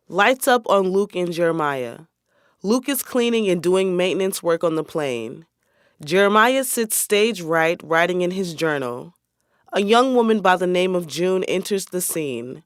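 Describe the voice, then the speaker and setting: A woman's voice: lowish voice, animated, a little slow, clean tone, dry recording, studio quality. A woman as a plain, neutral narrator reading scripted stage directions for a radio play.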